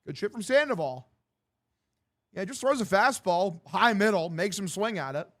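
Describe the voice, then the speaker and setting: A young man in his twenties with a distinctly high voice for a male. He streams baseball commentary mixed with casual chat, in loose, slangy language with plenty of swearing and a bro-like way of addressing people. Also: lively intonation, normal speed, fairly bright tone. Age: 20s. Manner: profane, slangy, bro-like talk. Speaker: sports streamer calling a baseball game and answering chat.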